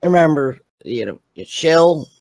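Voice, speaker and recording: A man on a livestream bantering casually in a halting, teasing, sarcastic way, with some swearing. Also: a little high, expressive, creaky, darkish tone. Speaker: co-host or guest on a livestream.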